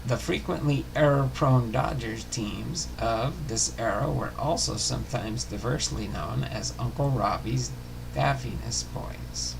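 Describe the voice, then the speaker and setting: A man hosting a baseball history series, reading a biography aloud in a halting way, stumbling and rereading words. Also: mid-range voice, gravelly, noisy background.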